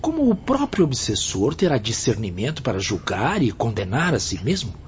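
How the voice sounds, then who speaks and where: An actor playing a puzzled, inquisitive pupil in a radio drama, asking formally. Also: male voice, medium pitch, huge pitch swings, normal speed. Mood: puzzled, curious. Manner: questioning, formal. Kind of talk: Brazilian radio drama acting. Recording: dull lo-fi audio with faint noise.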